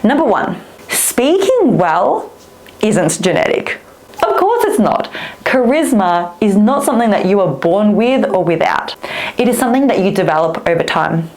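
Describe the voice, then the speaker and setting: A woman whose first language is Vietnamese, a speaking coach giving an upbeat, expressive talk straight to camera. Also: medium pitch, normal speed.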